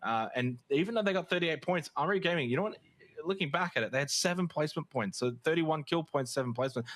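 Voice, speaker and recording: A man's voice: on the high side, fairly bright tone. A man giving conversational, explanatory post-match analysis as an esports commentator. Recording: music behind the voice. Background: background music.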